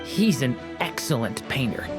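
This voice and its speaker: An actor voicing a gentle, earnest young dragon in human form who is still learning how people talk, in video game dialogue. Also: male voice, medium pitch, sing-song, a little slow. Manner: soft, earnest, a little unsure. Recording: game audio with soft music behind.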